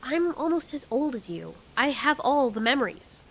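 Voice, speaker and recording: A giant female moth monster rules with testy pride, as a woman acts her out. Her voice is highish and very animated, emphatic and exasperated, in a story drama. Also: moderate pace, slightly rough, heavily acted. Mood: annoyed, exasperated.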